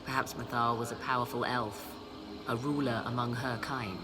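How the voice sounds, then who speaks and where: An actress playing a poised, learned and haughty sorceress in a video game, speaking in a measured, formal, theatrical way. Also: female voice, a bass voice, little pitch movement, background noise. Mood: assured, skeptical. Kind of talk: video game dialogue acting. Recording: game music behind the voice.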